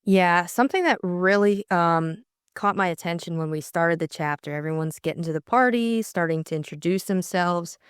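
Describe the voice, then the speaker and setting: A woman's voice: lowish voice, normal speed, clean tone, no room sound, clean studio sound. A young adult woman co-hosting a casual discussion of a classic novel. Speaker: audiobook co-host.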